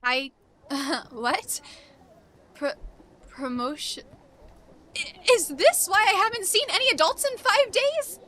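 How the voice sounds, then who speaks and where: A woman playing a panicky, overwhelmed teenage park employee in a comedy audio drama, stammering and halting. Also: very high voice, animated, a bit bright, exaggerated voice. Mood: stunned disbelief.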